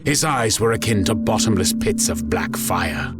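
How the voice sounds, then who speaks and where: A man narrating a dramatic scripted story in a grave, deliberate manner. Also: on the low side, animated, over loud music.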